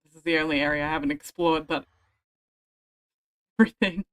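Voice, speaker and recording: A woman's voice: deep voice, fairly even intonation, moderate pace. A woman livestreaming a video game, commenting casually to herself in grumbling, muttered asides. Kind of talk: casual live gameplay commentary, talking to self. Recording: game music behind the voice. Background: video game music.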